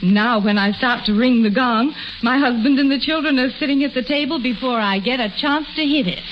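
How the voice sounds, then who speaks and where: An actress playing a chatty, earnest housewife customer in a 1940s radio advertisement. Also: female voice, sits high, brisk, natural voice. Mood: earnest, explaining. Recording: hissy muffled old radio broadcast.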